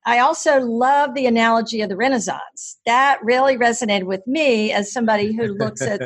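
A woman's voice: on the high side, a little fast. A woman who is a professor and host, interviewing a guest and praising his ideas with enthusiasm. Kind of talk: interview hosting.

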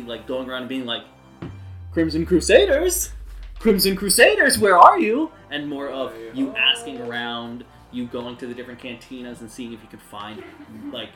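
A man improvising narration as game master of a tabletop game, in a halting, conversational way. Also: medium pitch, pushed and bright, roomy sound. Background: music.

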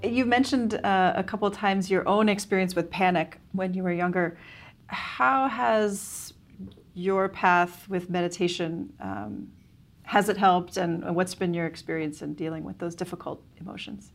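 A woman interviewing a guest, asking thoughtful questions and giving soft, attentive acknowledgements. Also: on the low side, unhurried.

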